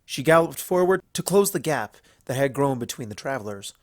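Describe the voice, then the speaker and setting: A man's voice: mid-range voice, expressive, brisk, no room sound, studio quality. A man reading scripted story narration as the narrator.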